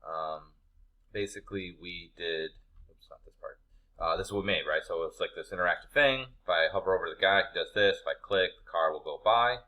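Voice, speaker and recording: Bright, deep-voiced male instructor. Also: a little fast. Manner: easygoing, colloquial, laid-back phrasing. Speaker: instructor of an animation class. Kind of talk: informal spoken assignment walkthrough.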